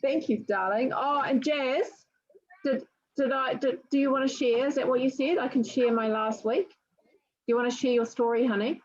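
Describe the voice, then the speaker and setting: A woman's voice: high-pitched, normal speed, highs cut off. A woman hosting a group video call, encouraging everyone and calling them darling and honey. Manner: warm encouraging, calls people darling and honey.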